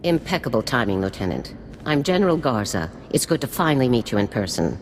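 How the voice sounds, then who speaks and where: A woman's voice: extremely low pitch, sing-song, a little fast. An actress playing a stern, commanding female special forces general in a video game, speaking in a formal, authoritative, clipped way. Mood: curt, formal. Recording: long reverb with faint noise.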